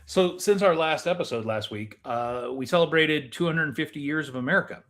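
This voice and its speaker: A man's voice: on the high side, normal speed, a bit bright. A man talking casually as the co-host of a chat podcast.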